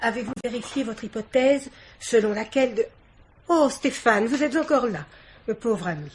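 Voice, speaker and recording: An actress playing an assertive society hostess in a vintage French radio mystery, haughty, chatty and insistent. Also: female voice, on the high side, animated, moderate pace, a little hiss or hum, lightly acted. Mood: breezy. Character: assertive society hostess, a young woman's aunt. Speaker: actor.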